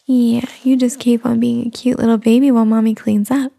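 A woman in a soft-spoken roleplay, whispering and cooing in a soothing way. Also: on the high side, flat delivery, fairly quick. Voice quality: whispery, breathy. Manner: cooing, soothing, talks as to an infant. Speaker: roleplay performer.

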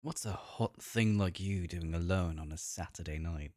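A man voicing a smooth-talking, flirtatious German tourist with a German accent, suave and deliberate, for an erotic audio roleplay. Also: low-pitched, a little mellow, dry recording, studio quality, voice pushed down.

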